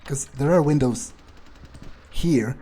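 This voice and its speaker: Husky, mellow man thinking aloud while gaming. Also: a little high, takes it slowly. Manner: hesitant, repeats words, swears casually. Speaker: gamer doing in-character playthrough. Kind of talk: improvised in-character game commentary, thinking aloud.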